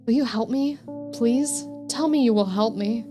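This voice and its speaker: A troubled, needy young narrator of a psychological horror game, read aloud by a woman. The delivery is introspective and pleading, like thoughts spoken out in a visual novel. Music plays clearly behind the voice. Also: on the high side, a little slow, lightly acted. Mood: pleading, anxious.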